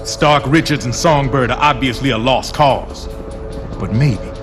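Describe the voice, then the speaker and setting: A streetwise game superhero, acted by a man, mid-pitched and defiant. He talks bluntly and colloquially over clear background music. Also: unhurried, lightly acted. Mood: wry conviction.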